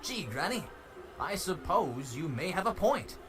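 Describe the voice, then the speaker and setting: A man's voice: fairly high pitch, sing-song, measured pace, background noise. A man playing a showy, stubborn con-artist pony brother in a cartoon comic dub, with a theatrical, grandiose manner. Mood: conciliatory, grand. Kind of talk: fan comic dub character acting.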